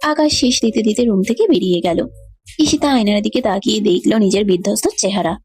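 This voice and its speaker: A woman's voice: medium pitch, a little fast, a little mellow. A woman giving scripted narration of a romantic story.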